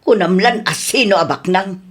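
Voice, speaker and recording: A woman playing a nagging, sharp-tongued middle-aged aunt in a scolding, argumentative radio comedy drama. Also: medium pitch, normal speed, lightly acted. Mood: indignant, complaining.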